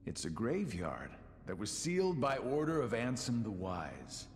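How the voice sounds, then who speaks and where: Sly, drawling schemer with swooping pitch. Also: male voice, wildly swooping pitch, unhurried, in a large hall, a little hiss or hum. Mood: sly, casual. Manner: drawling, teasing, theatrical. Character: sly, mocking middle-aged male schemer. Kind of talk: video game cutscene acting.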